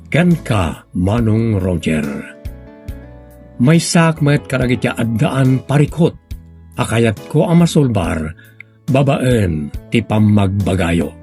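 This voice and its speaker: A man's voice: lowish voice, a little mellow. A man with a Filipino accent narrating a scripted introduction to a radio drama.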